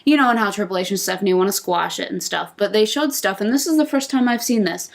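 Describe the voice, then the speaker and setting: Casual chat with a woman co-host sitting on a couch at home. she talks in eager, excitable bursts and sometimes tumbles over her own words. her voice sits in a middling pitch. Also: gravelly. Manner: excitable, gushing, fast bursts. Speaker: podcast co-host. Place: indoors on a couch.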